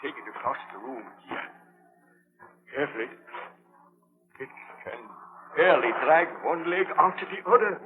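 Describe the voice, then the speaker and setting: An actor playing an obsessive, imperious young baron scientist in a melodramatic 1930s radio drama style. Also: male voice, on the high side, animated, normal speed, long pauses, close to an everyday voice. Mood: commanding, urgent. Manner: melodramatic, commanding. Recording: muffled vintage 1930s radio broadcast.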